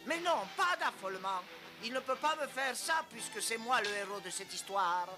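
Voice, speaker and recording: High-voiced, swaggering little cartoon hero. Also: male voice, animated, moderate pace, over loud music. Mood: indignant, heroic. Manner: theatrical, swaggering. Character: boastful, gallant little Smurf storybook hero. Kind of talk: animated cartoon dialogue.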